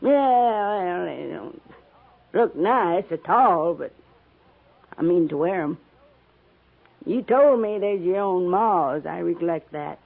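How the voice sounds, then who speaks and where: A woman's voice: fairly low pitch, lively intonation, slow, creaky, long pauses, narrow, tinny audio, full character voice. An actress playing a wily, sweet-talking old American frontier woman with a cracked voice and a folksy drawl, in a 1950s radio drama. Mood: proud, folksy. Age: older. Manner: folksy rustic drawl, sly.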